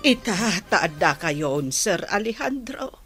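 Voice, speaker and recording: A woman playing a worried, apologetic grandmother with a secret in an audio drama, speaking haltingly and pleadingly. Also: mid-range voice, expressive, loud music behind the voice. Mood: remorseful, pleading. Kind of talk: dramatic audio story acting in Philippine language.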